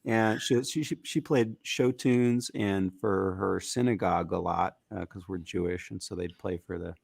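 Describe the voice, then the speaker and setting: A man with a raspy, gritty voice, talking casually as a musician guest in an interview, rambling with fillers. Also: sits low, normal speed, no echo. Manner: relaxed, rambling with fillers and restarts.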